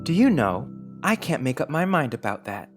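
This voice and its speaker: Man as a young boy, earnest, wistful, confiding, storybook character, guitar music clearly behind. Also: medium pitch, big pitch swings, normal speed, lightly acted. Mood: grave, curious. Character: earnest, dreamy, solemn young boy of about eleven. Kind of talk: dramatized audiobook character reading.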